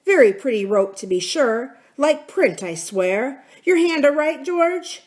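A woman plays a cunning old-salt pirate captain from a storybook. The talk is folksy and sardonic, full of scorn, and the high-set voice swings widely up and down. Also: expressive, measured pace, a little mellow. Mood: sarcastic. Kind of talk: dramatic storybook character reading.

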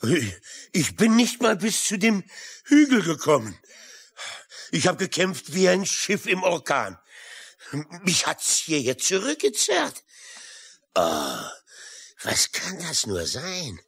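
An actor playing a blustering, excitable seafaring uncle in a German children's radio play, with exclamatory sailor talk. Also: male voice, high voice, takes it slowly, gravelly, long pauses, slightly rough, exaggerated voice. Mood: baffled, uneasy.

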